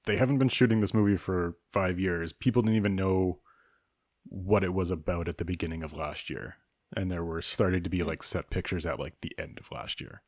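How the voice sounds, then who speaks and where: A man co-hosting a casual film review podcast, speaking in a measured, knowledgeable and opinionated way. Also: sits low, steady tone, a little mellow, dry recording, studio quality. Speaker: podcast co-host.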